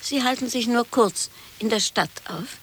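A woman's voice: on the high side, normal speed, fairly bright tone, slightly rough. An actress playing a genteel, politely inquisitive woman with a mannered way of talking, in an absurd comic radio play. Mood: polite curiosity. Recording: hissy radio play recording.

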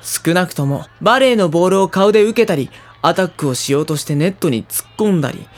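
A woman's voice: on the low side, expressive, fast. A woman playing a sensible, exasperated teenage schoolboy who makes dry, protesting retorts in an audio drama. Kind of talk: Japanese anime-style audio drama acting.

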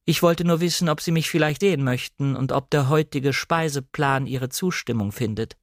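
A man voicing a coolly deferential female housekeeper of a manor in an audiobook drama, with formal, measured politeness. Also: a little high, no room sound, clean studio sound, pitched above the performer's own voice, close to an everyday voice.

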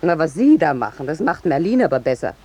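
Brash, animated woman war correspondent. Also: low voice, husky, full character voice. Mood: dismissive, scheming. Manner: brisk, insistent, self-important. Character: brash, sensation-hungry female war correspondent, pushy and self-important. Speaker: actor in a radio play. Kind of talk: 1950s German radio play acting. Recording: noisy 1950s radio drama recording.

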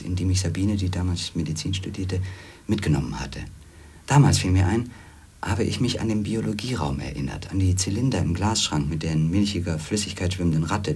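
A brooding former editor in a radio play, with a very low, husky voice. He recounts his wanderings in measured literary sentences, introspective and inward. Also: darkish tone. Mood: pensive. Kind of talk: literary first-person radio play narration.

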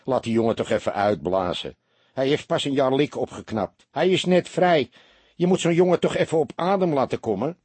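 A thin, streetwise barkeeper acted by a male audiobook reader with a husky voice and swooping pitch. He is wary and grumbling, wheedling in slangy speech, and the sound is close and dry. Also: very animated, unhurried, a little mellow, dry recording. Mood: pleading.

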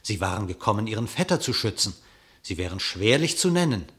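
A man narrating an epic verse tale for a radio play, measured and solemn. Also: on the low side, big pitch swings, fairly bright tone.

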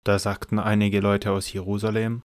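A man's voice: fairly low pitch, monotone, normal speed, a little mellow, dry recording, clean studio sound. A man reading scripted narration for a Bible audio drama.

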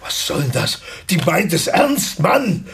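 A cornered man in a radio drama, very raspy and creaky. His fairly high voice swings widely as he protests and pleads, agitated and flustered. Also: very animated, unhurried, fairly bright tone, full character voice. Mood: pleading, agitated. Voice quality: husky, gravelly. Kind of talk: German radio play acting.

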